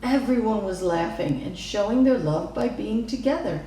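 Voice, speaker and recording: Lively woman storyteller in echoey room. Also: mid-range voice, moderate pace. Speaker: storybook reader. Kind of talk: expressive children's story narration. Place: reverberant room, away from the mic. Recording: echoey room, distant mic, faint noise.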